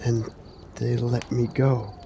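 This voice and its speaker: An actor playing a haunted, weary warrior in a fantasy radio drama, speaking gravely and haltingly. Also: male voice, mid-range voice, little pitch movement, noisy background. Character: broken, haunted brave warrior, solemn and weary.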